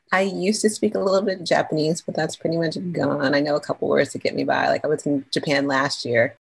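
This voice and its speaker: Woman podcast guest, low husky gravelly voice, animated and slangy storyteller. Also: a little fast. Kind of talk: casual interview chat.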